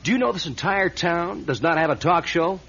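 A man's voice: on the high side, expressive, creaky, fairly bright tone, a little hiss or hum. A man playing a brash, pushy, self-assured aspiring disc jockey in a radio drama, eager and insistent. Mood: cocky, excited. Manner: eager, insistent, fast-talking salesman. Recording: dull old radio recording.

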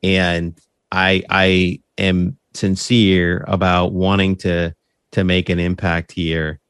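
Earnest man with a low voice. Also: little pitch movement, slow pace, a bit bright, clean tone, no room sound, clean studio sound. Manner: earnest, conversational, often tags "right?"; frequent restarts and repeated words. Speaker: podcast host interviewed as guest. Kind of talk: casual interview talk.

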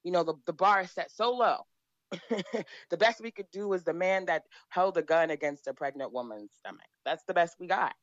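A woman's voice: on the low side, moderate pace, no room sound, lo-fi sound. A woman speaking as an interview guest, blunt and emphatic, repeating her points. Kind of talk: opinionated interview talk.

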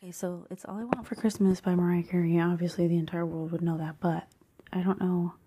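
A woman vlogging in a hushed half-whisper, slightly hoarse, reacting to quiz questions with bursts of excited disbelief. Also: lowish voice, a little fast, a little hiss or hum. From Cuban family background. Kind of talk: casual chat, reading quiz questions aloud.

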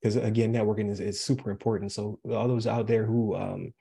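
Husky, low-voiced American man hosting a podcast. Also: level tone, fairly quick, dark tone. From Buffalo, New York, US. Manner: rambling, chatty. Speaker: podcast host. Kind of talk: casual conversational interview.

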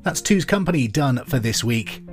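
A man's voice: mid-range voice, animated, soft background music. Man hosting a pub quiz. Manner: reads each question twice, slowly and clearly. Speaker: quiz host.

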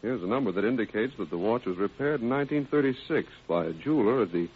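Brisk obliging shopkeeper, lowish voice, rapid speech, old radio drama, narrow sound. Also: male voice, lively intonation, breathy edge. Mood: helpful, brisk. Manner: helpful, matter-of-fact. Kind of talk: 1940s radio drama acting. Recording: tinny, narrow-band old radio broadcast.

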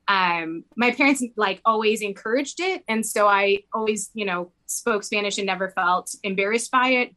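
A woman's voice: mid-range voice, bright, forceful tone, low-quality recording. A young woman who grew up in Mexico, talking casually in an interview, rambling with lots of "like" fillers. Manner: rambling, heavy use of "like" fillers.

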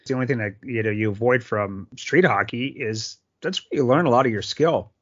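A man's voice: medium pitch, a little fast, lo-fi sound. A man co-hosting a hockey podcast, chatty and rambling as he tells stories. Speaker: podcast co-host. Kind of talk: casual hockey podcast chat.

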